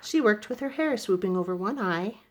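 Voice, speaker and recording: A woman reading a rhyming children's story aloud in an expressive narrating style. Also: medium pitch, a little fast. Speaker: storybook reader.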